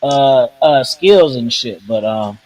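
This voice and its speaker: A man co-hosting a casual wrestling chat, rambling and halting in a laid-back way. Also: medium pitch, animated, a little mellow, rough recording. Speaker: podcast co-host.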